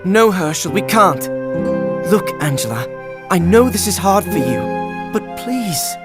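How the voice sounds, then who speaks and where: A headstrong teenage boy in a video game cutscene. He is earnest and excitable, always persuading, with music clearly playing behind him. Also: sits high, unhurried. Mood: pleading. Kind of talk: animated game cutscene acting.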